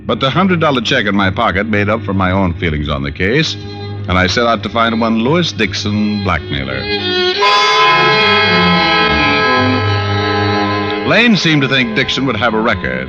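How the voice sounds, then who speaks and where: An actor playing a self-assured, wisecracking male private detective in an old-time radio drama, delivering wry, deadpan quips. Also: lowish voice, brisk. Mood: wry narrating. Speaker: lead actor and narrator. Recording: muffled vintage radio recording with music.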